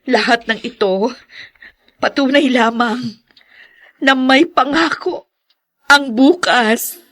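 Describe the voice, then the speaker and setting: An actress playing a tender, grateful young expectant wife in a Tagalog radio drama, speaking earnestly. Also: female voice, sits high, takes it slowly, a bit bright, heavily acted. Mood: tearfully happy. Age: young adult.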